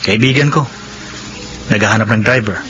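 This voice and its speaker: A man in a Filipino radio drama playing a brusque but kindly doctor with a brisk, matter-of-fact manner. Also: fairly low pitch, bright, forceful tone, slight background noise. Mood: firm, kind. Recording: dull lo-fi sound, music behind.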